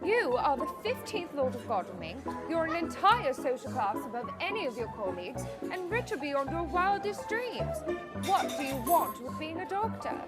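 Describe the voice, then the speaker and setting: High, teasing society belle acted by a woman. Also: big pitch swings, moderate pace. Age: young adult. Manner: theatrical, teasing. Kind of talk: scripted radio drama acting. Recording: loud music behind the voice.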